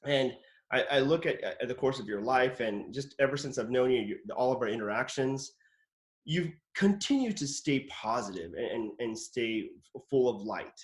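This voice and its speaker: A man in his late thirties hosts a video-call interview, talking chattily with open enthusiasm and admiration. His voice has an ordinary middle pitch and carries the echo of a roomy space. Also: animated, normal speed. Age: late 30s. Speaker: host, actor. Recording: roomy echoey room.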